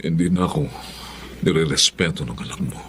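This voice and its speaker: A man playing a defensive, pleading middle-aged unfaithful husband in a Filipino radio drama, halting and self-justifying, with a Filipino accent. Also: a bass voice, slight background noise. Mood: troubled, placating. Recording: prominent dramatic music behind the voice.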